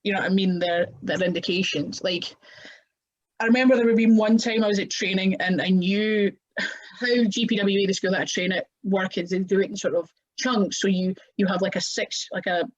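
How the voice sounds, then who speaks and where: A woman's voice: medium pitch, steady tone, a little fast, highs cut off. A Scottish woman chatting casually as a podcast guest, rambling with fillers and restarts. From Scotland. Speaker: wrestler guest.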